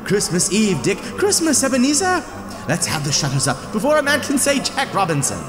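Jolly old gentleman, very high, wildly swooping voice, boisterous, audio drama. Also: sing-song, a little fast, a crowd in the background. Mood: jubilant. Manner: exuberant, hallooing. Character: jolly, boisterous old master hosting Christmas party. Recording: long reverb, music behind.

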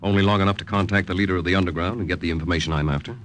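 An actor playing a proper, earnest young Allied spy, speaking and narrating in a measured, polite way in an old-time radio drama. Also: male voice, deep voice, steady tone, fairly bright tone. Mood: earnest, wary. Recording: vintage radio recording with faint music.

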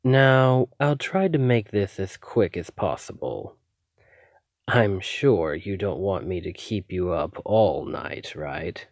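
Man acting out a low, slow, courteous vampire. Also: expressive, no room sound, clean studio sound, full character voice. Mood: reassuring, eager. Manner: polite, coy, measured, theatrical. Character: obsessive, courteous male vampire posing as lab technician. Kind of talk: ASMR roleplay addressed to the listener.

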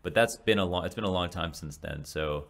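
Young male podcaster, very low raspy voice, casual rambling delivery, close studio mic at home. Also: fairly quick, a bit bright. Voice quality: deep voice that turns raspy. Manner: rambling, many restarts and fillers. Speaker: podcast host and esports caster. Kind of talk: casual solo podcast reflection. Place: small home room, indoors. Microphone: large studio mic in front of face.

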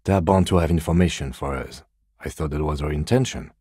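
A man voicing a composed, methodical police detective in a video game, speaking in a measured, formal, understated way. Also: rumbling low, dark tone, slightly rough, no room sound, studio quality. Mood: measured, serious. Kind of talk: video game character dialogue.

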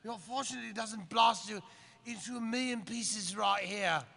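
A man's voice: high-pitched, moderate pace, gravelly, sharp tone, full character voice. A man voicing a fussy, slurring robot butler in a comic live table read. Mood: scolding. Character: tipsy, fussy English comic-actor style robot butler. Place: convention panel stage with audience.

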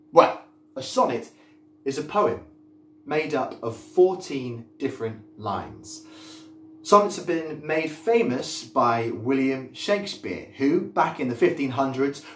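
A British man in his thirties, a schoolteacher speaking to students on video in an animated, direct manner, recorded at home. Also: medium pitch, slight background noise. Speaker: schoolteacher presenting a poetry series. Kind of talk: video address to students with poetry recitation. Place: living room at home, indoors. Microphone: device mic a step away. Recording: roomy home echo.

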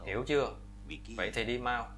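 Casting: male actor for old witch-hunting bishop, domineering and accusing, cartoon fairy tale, mid-pitched, music underneath. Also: fairly quick, natural voice. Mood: scheming, commanding. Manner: commanding, accusatory.

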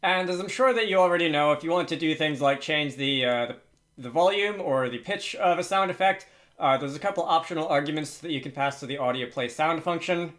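A man's voice: on the high side, fairly quick, fairly bright tone. A man hosting an informal programming tutorial, thinking aloud hesitantly as he works through it at his computer. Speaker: tutorial host. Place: indoors at a computer, screen recording with typing.

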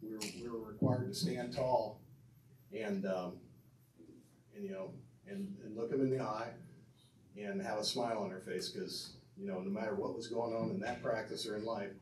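A middle-aged man with a fairly low voice speaks earnestly from a podium, stumbling now and then as he reads his notes. He is heard from across a reverberant banquet room, with a faint hum of room noise behind him. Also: moderate pace, darkish tone, distant mic. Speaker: speaker inducting his father into a hall of fame. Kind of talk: prepared tribute speech with asides to the audience. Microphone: podium mic heard from a far camera.

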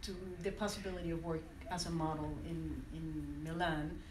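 A woman from Venezuela, French her first language, gives a reflective talk at a standing mic in a university classroom. She often pauses and restarts her sentences. Her voice is fairly low and sounds roomy and distant, caught from the back of the class. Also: fairly even intonation, background noise. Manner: reflective, with many restarts and hesitations. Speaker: conference speaker. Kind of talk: personal talk to an audience. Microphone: standing mic, recorded by a phone from the audience. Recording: echoey room from far off.

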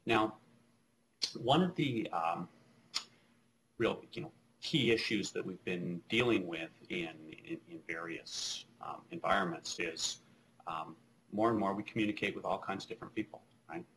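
Man, 30s, very low voice, webcam keynote, small office, chatty with fillers, faint background hiss. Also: normal speed, fairly bright tone, rough recording. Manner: conversational, many fillers and restarts. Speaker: keynote speaker. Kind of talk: live conference keynote over video link. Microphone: video call webcam.

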